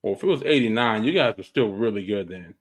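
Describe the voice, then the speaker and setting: A man's voice: fairly low pitch, animated. Easygoing man. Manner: easygoing, quick to agree and laugh along. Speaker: podcast co-host. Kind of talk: casual sports chat.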